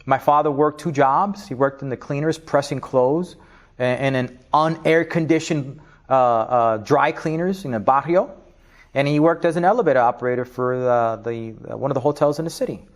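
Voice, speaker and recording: A middle-aged man from Spanish Harlem with a New York accent, giving an animated interview, laughing often and restarting his sentences. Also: medium pitch, normal speed. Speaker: interview guest, business leader. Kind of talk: casual interview talk.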